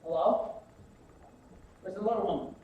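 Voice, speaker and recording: An actor plays a swaggering, coarse husband in an old film drama, drawling with lazy mockery. The sound is dull and echoey, heard from a distance. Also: male voice, high-pitched, moderate pace, a little hiss or hum, lightly acted. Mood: mocking.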